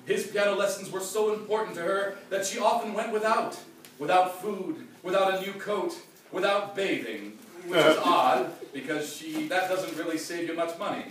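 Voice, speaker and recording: A man's voice: high voice, moderate pace, a bit bright, a little hiss or hum. A man narrating a live comedy radio play in a mock-dramatic scripted style. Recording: echoey live room, distant mic, music behind. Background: music.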